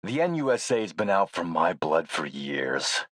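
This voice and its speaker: An actor playing a defiant, menacing warlord in a video game, making a deliberate and forceful speech. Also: male voice, low-pitched, very animated, no echo, studio quality, exaggerated voice. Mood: indignant, measured. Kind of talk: scripted game voice acting, speech.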